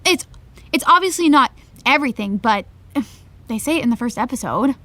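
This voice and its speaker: Placating young woman, high voice, casual, hesitant, conciliatory, naturalistic audio drama. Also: animated, brisk, pushed and bright. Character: groggy, placating young female best friend.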